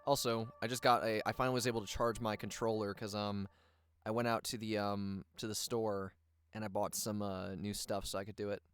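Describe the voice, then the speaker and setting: A man's voice: on the low side, level tone, fairly quick, clean tone, no echo. Rambling man streaming a game. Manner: rambling, lots of ums and restarts. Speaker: livestream gamer. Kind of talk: casual live gameplay chat.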